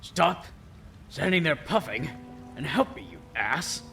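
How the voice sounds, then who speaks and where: Creaky, swooping, callous thug. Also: male voice, fairly high pitch, expressive, measured pace, bright, forceful tone, faint music behind, heavily acted. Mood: irritated, sneering. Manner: barking, sneering, coarse slang. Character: gruff, callous, bullying criminal boss. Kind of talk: audio drama acting.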